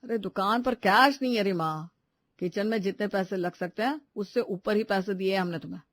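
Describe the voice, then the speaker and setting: A man's voice: high voice, normal speed, a bit bright. A man playing a grumbling adult son and husband in a household story, with a complaining manner.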